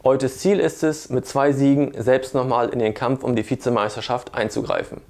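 A male sports reporter delivers a scripted preview in a studio. His voice sits at a middle pitch for a man, and he sounds like a presenter reading prepared copy. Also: level tone, normal speed, darkish tone.

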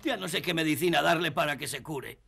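An actor playing an eager, excitable gnome nephew in a cartoon. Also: male voice, a little high, fast talker, gravelly, heavy background noise. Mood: worried. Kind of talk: Spanish-language cartoon dub acting.

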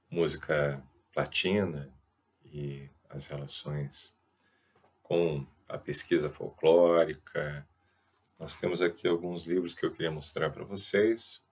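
Brazilian man in his forties, very low, flat voice, professorial talk with many pauses, headset mic. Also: husky. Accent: Brazilian Portuguese. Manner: thoughtful, professorial, frequent filler pauses. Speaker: host. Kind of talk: informal lecture to camera. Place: small indoor room with a chalkboard. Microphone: headset mic on a webcam video.

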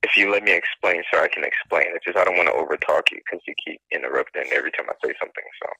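A man working as a debt collection agent on a phone call, giving a scripted pitch in an insistent, repetitive, formal manner. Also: rapid-fire, pushed and bright, breathy edge, no room sound. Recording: phone line. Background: telephone call.

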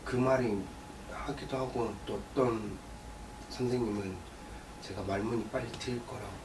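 A man's voice: medium pitch, fairly even intonation, normal speed. A young man in his twenties, a client answering a shaman with brief agreeing replies. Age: 20s. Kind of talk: consultation answers. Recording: distant echoey mic with noise.

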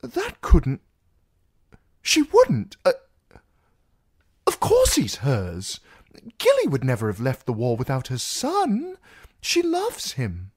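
A narrator voicing a frightened, anxious young man who pleads in a high voice. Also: very animated, slow, exaggerated voice. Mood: pleading. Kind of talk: audiobook character voice.

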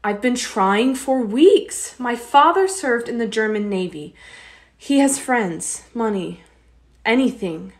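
A woman's voice: on the high side, expressive, takes it slowly. A woman playing a polite but desperate young wife and mother in a radio play, earnest and pleading.

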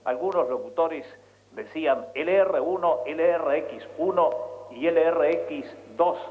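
A man's voice: fairly high pitch, unhurried. A man with a Rioplatense Spanish accent telling radio anecdotes as an announcer on a live link. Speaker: radio announcer and guest correspondent. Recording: muffled, noisy live radio link with hum.

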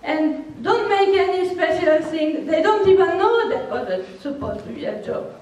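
A woman's voice: very high-pitched, lively intonation, normal speed, darkish tone, distant mic. Older Hungarian-accented woman, echoey hall. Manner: laughs often, frank and matter-of-fact. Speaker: workshop speaker. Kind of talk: answering audience questions. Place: large hall with seated audience. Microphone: handheld microphone, recorded from far off.

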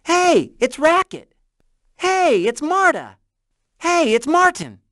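A woman voicing a cheerful little announcer creature in a video game, drawn-out and sing-song. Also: high-pitched, very animated, a bit bright, dry recording, clean studio sound, exaggerated voice.

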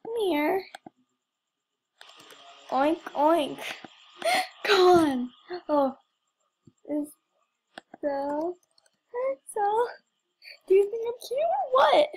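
A woman's voice: extremely high pitch, sing-song, very slow, long pauses, slight background noise, low-quality recording. A young woman giving casual, playful game commentary with mock drama and exclamations. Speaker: gamer recording gameplay.

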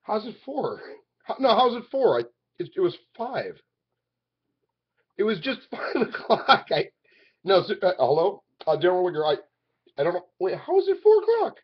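A man in his forties talking to a webcam in his living room, rambling and swinging from loud outbursts to low mutters. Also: huge pitch swings, normal speed, pauses often, breathy edge. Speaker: vlogger. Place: living room at home, indoors. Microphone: webcam at arm's length. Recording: dull lo-fi webcam audio.

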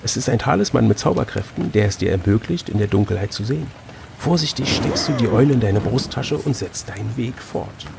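A man reading an adventure gamebook aloud as host, switching between expressive narration and casual chat. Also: medium pitch, a little fast, creaky, background noise.

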